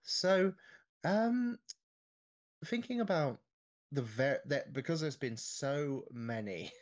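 A man's voice: a little high, animated, slow, no room sound. Dry-sounding man in casual conversation. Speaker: podcast speaker. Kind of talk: casual podcast chat.

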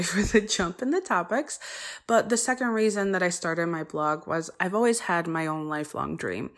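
A woman's voice: lowish voice, fairly bright tone. A woman hosting her own podcast, talking earnestly and chattily with frequent ums and detours. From United States. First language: Polish. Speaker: podcast host. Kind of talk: solo podcast introduction.

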